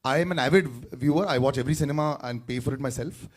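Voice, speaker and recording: A man with an Indian accent hosts a press event, steering the questions in mixed English and Hindi. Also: medium pitch. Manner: brisk, directing the questions. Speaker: event host.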